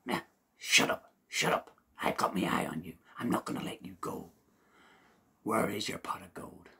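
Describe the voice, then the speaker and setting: A man's voice: fairly low pitch, unhurried, creaky, breathy edge, heavily acted. A man playing a blunt, determined grown man who has caught a leprechaun. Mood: gruff. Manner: blunt and insistent.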